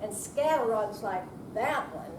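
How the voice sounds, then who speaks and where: A woman's voice: mid-range voice, expressive, a little slow, natural voice. A woman reading the part of an earnest, instructive home-safety visitor in a readers theater performance. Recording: roomy, distant, clearly noisy hall recording.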